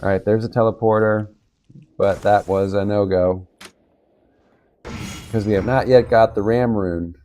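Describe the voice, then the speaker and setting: A man with a raspy voice from being sick, giving low-key commentary on his gameplay. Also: unhurried, pauses often, a little hiss or hum. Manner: relaxed, low-key play-by-play with occasional coughs. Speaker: gaming video host. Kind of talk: casual game commentary.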